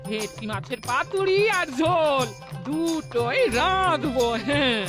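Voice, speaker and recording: A woman's voice: high voice, animated, takes it slowly. A woman voicing an obliging, homely wife in a cartoon. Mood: reassuring. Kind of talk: cartoon voice acting. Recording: music behind the voice.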